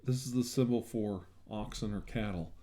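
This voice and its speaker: A man with a lowish, very mellow voice performs an ASMR medical roleplay. He plays the examiner, speaking to the patient in a reassuring and attentive way. His tone is dark and relaxed. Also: normal speed, a little hiss or hum. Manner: reassuring, attentive bedside talk.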